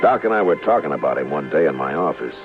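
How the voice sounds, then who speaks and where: An actor playing a steady, laconic, dry-humored frontier marshal in an old radio western, calmly authoritative. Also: male voice, rumbling low, fast talker. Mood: matter-of-fact. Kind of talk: 1950s radio western acting and narration. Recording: muffled old radio recording, music behind.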